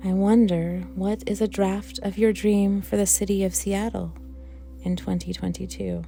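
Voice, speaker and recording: A woman leading a guided meditation for worship, speaking in a gentle, reflective manner with unhurried, pausing phrases. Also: mid-range voice, level tone, vocal fry, faint music behind. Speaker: worship leader guiding a meditation.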